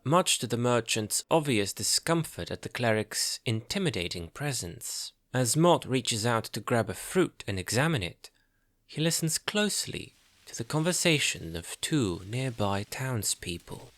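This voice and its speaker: A man narrating a scripted fantasy audio drama in a measured storytelling manner. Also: medium pitch, sing-song, a bit bright, dry recording, studio quality.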